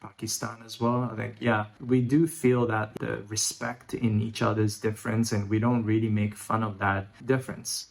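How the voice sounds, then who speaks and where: A man's voice: fairly low pitch, level tone, moderate pace, gravelly, few pauses. A man in his thirties from Hong Kong and Singapore, giving an informal, candid personal monologue as a vlogger. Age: 30s. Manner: informal, candid, occasional swearing. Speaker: YouTube vlogger. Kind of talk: personal reflective monologue to camera.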